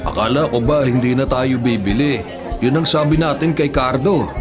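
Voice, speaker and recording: Mild, generous Filipino radio-drama husband played by a man, medium pitch, music and crowd noise behind. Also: moderate pace, close to an everyday voice. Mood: mild, apologetic. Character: generous, mild-mannered husband who lends to relatives. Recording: music and outdoor bustle behind.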